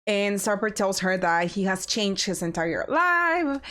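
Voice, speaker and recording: A woman hosting a reaction channel who talks through a reality show in a sassy, chatty way. Her voice sits at a middle pitch, and the style is casual commentary. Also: sing-song, unhurried.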